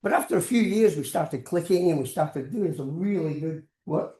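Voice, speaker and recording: A man's voice: a little high, animated, normal speed, mellow. An older man with a Scottish accent gives an informal academic lecture, rambling into anecdotes and repeating words as he goes. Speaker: professor giving a science lecture. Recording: roomy, echoing lecture sound.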